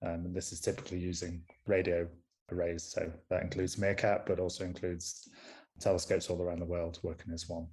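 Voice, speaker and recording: A young man from Manchester speaks in a thick northern English accent, his voice sitting very low. He explains his research as a podcast guest in an easy, conversational way, pausing to restart phrases now and then. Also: completely flat delivery, a little mellow, poor audio. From Manchester, England. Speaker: astronomer guest. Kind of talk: casual podcast interview.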